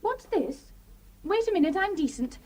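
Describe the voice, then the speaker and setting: Radio drama: chatty scatterbrained friend, high voice. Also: female voice, big pitch swings, normal speed, darkish tone. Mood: breezy. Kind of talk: 1970s radio drama acting.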